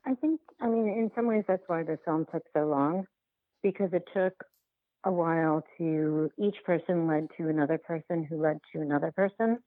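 A woman answering interview questions as a filmmaker, in a halting, conversational way with repeated words. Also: low-pitched, no room sound, narrow, tinny audio. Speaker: documentary filmmaker, podcast guest.

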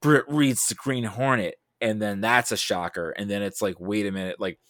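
A man talking casually about films, thinking aloud in a halting, animated way with self-quoting asides. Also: on the low side, dry recording, studio quality. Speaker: podcast guest discussing film. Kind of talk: casual film discussion.

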